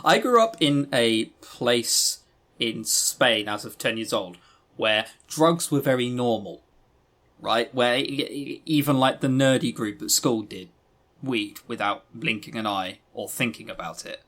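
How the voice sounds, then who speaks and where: A man's voice: medium pitch, expressive, unhurried, a bit bright. A man co-hosting a podcast, giving casual critical commentary in a sardonic, exasperated manner. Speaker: podcast co-host.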